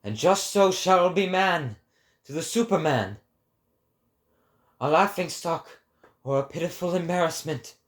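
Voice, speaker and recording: A man's voice: a little high, animated, measured pace, long pauses. A man voicing an impassioned male prophet who declaims like an orator in a dramatic reading.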